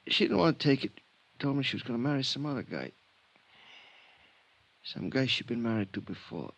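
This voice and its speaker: An actor playing a bitter, cornered burglar and killer in an old-time radio crime drama, speaking in halting, clipped sentences. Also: male voice, mid-range voice, gravelly. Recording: muffled vintage radio recording.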